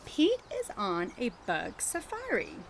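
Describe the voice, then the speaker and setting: A woman teacher doing an animated read-aloud of a picture book for young children, chatting and asking them questions as she goes. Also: fairly high pitch, a little slow, background noise. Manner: engaging the children with questions and tag questions.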